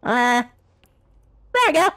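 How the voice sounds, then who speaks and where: Squeaky, feisty cartoon devil. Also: female voice, big pitch swings, slow pace, fairly bright tone, slight background noise, full character voice. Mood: uneasy, playful. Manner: exclamatory, muttering, repeats words. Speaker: gameplay host. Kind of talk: in-character game commentary.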